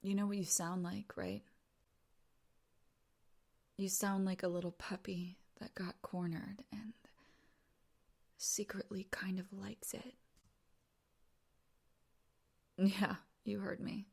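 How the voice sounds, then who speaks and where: A woman playing a teasing, domineering young woman in an intimate audio roleplay, creaky and breathy, often dropping into whispers. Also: lowish voice, takes it slowly, pauses often, full character voice. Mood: hushed, intimate. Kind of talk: intimate audio roleplay, second-person dramatic monologue.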